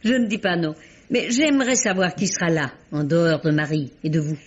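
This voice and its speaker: Brief: actor playing a wry, amused detective in a vintage radio play, husky, lo-fi. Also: male voice, on the high side, brisk, natural voice. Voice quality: dry, ironic. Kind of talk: 1950s French radio drama acting. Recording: dull old radio recording.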